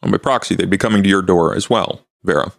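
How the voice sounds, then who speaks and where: Man playing a deep, gravelly gentleman vampire investigator. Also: fast, no room sound, clean studio sound. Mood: dry, reserved. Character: dry, courteous male vampire investigator.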